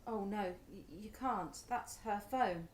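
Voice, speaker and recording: A woman's voice: medium pitch, takes it slowly, echoey room, natural voice. A woman with a British accent playing an anxious, easily stung middle-aged mother in a naturalistic radio play. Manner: fretful, emotive.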